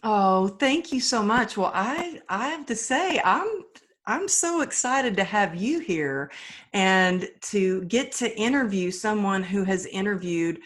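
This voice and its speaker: A woman's voice: medium pitch, animated, slow pace. A woman hosting a podcast interview, welcoming her guest with enthusiastic, effusive praise. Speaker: podcast host.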